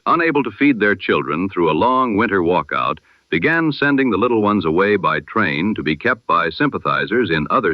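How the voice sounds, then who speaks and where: A man presenting a scripted news bulletin in 1920s radio style, with measured, solemn, oratorical phrasing and a vintage radio filter. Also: fairly low pitch, dry recording. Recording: dull, band-limited old radio sound.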